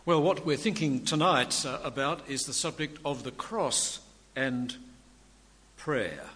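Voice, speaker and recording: An older man preaching a sermon with a quiet voice and dry humour. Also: mid-range voice, lively intonation, takes it slowly. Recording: long hall reverb, faint room noise.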